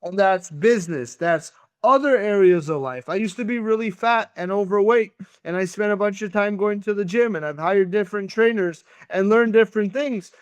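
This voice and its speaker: A man's voice: sits high, moderate pace, few pauses, clean tone, no room sound. A man whose first language is Russian, a podcast guest talking earnestly about hard work, often repeating words as he starts sentences. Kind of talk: conversational interview.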